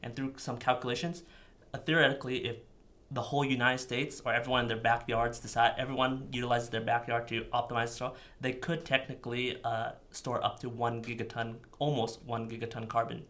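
Young grad-student engineer, methodical explainer, monotone delivery. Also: male voice, mid-range voice, fairly quick. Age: young adult. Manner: methodical, technical. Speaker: PhD student researcher. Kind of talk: technical interview explanation.

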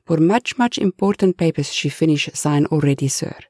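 A woman's voice: sits low, normal speed, darkish tone, no echo, clean studio sound. A woman voicing an anxious, loyal male servant who pleads in broken English sprinkled with Spanish words. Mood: earnest.

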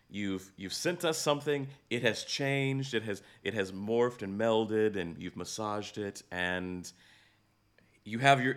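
A man hosting a conversational interview, thoughtful and reflective, repeating and rephrasing as he goes. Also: lowish voice. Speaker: podcast host, interviewer.

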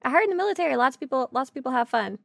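A woman's voice: sits high, lively intonation, rapid-fire, gravelly, dry recording, studio quality. A woman co-hosting a podcast in casual, playful banter with a silly, joking manner. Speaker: podcast co-host.

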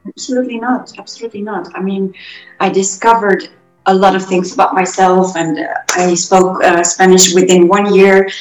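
A woman from the Netherlands, a guest telling her life story in an animated, self-correcting way. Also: mid-range voice, normal speed. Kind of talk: casual interview storytelling. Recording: roomy echo, faint music.

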